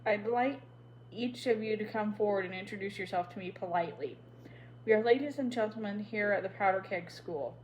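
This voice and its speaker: A woman doing a crisp, stern young schoolmistress. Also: medium pitch, a little fast, a little mellow, a little hiss or hum, lightly acted, low-quality recording. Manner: crisp, firm, commanding. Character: strict young schoolteacher woman, stiff and proper.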